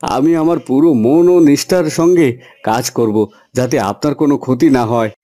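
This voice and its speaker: A man's voice: mid-range voice, moderate pace, warm tone, close to an everyday voice. A man voicing a humble, hesitant poor farm labourer and father in a fairy-tale cartoon. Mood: grateful. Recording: music under the voice.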